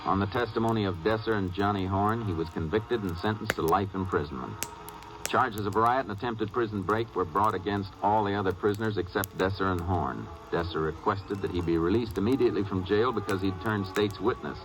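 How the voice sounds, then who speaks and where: Actor as a deep-voiced, steady Texas lawman. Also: a little fast, over music, lightly acted. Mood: measured, factual. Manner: terse, calm, matter-of-fact. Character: steady, businesslike Texas Ranger lawman, narrating and investigating. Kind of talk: radio crime drama acting and narration. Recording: noisy old radio drama recording.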